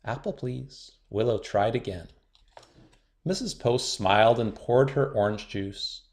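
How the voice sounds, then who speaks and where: A man with a fairly low voice reading a children's picture book aloud. He tells the story gently and expressively, at an unhurried pace. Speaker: storybook reader.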